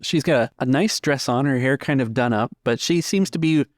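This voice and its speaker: A man narrating a tabletop game as game master, descriptive and measured, pausing mid-phrase. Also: medium pitch, no room sound, studio quality. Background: music.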